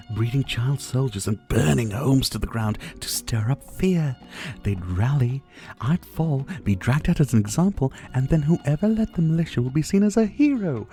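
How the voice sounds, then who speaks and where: A possessive yandere dictator, acted by a man, speaks close and intimate in an ASMR roleplay. His mellow voice swoops playfully and settles into a gravelly fry. He is doting and menacing at once, condescending and flirtatious. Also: mid-range voice. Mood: smug, lecturing. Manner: silky, condescending, flirtatious, doting with pet names. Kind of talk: ASMR roleplay, intimate dramatic acting addressed to the listener.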